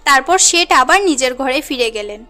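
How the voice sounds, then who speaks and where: A woman's voice: high voice, expressive, normal speed, bright, forceful tone, soft background music. A woman reading scripted storybook narration for a cartoon tale.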